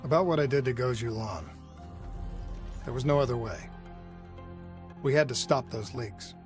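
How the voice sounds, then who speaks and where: A man's voice: mid-range voice, moderate pace, soft background music, natural voice. A man playing an earnest, resolute soldier in a video game cutscene, serious and plainspoken.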